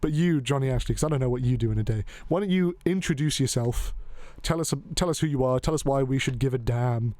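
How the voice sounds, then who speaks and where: A male host who rambles along, joking and dropping a curse here and there. His voice sits at an ordinary middle pitch. The sound is dry and close, with no room echo. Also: fast, hardly any pauses, darkish tone. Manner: rambling, joking, loose, swears casually. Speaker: podcast host. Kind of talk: casual chat.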